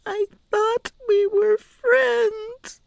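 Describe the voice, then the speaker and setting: High-pitched, breathy, stubborn little girl. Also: flat delivery, very slow, no room sound, exaggerated voice. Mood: tearful, whimpering. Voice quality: small, breathy, often whispering. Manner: earnest, insistent, plaintive. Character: stubborn, secretive eight-year-old girl with uncanny foresight.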